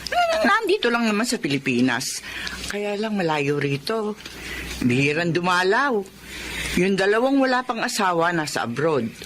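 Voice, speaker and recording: An actress playing a talkative, hospitable middle-aged neighbor in a radio drama, gossiping in a folksy way. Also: female voice, mid-range voice, huge pitch swings, normal speed, fairly bright tone, a little hiss or hum. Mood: chatty.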